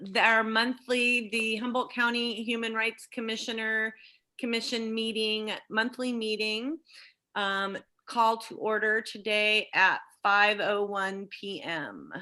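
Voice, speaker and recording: A woman runs a public meeting on a video call. Her voice sits a little high and has a bright, forward edge. She handles procedure in an informal, spoken way. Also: slow pace. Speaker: meeting chair.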